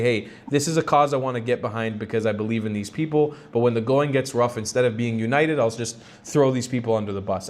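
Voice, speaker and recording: A man hosting a live call-in stream, arguing his opinions in a blunt, emphatic, sometimes sweary way. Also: mid-range voice. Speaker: live stream host taking calls.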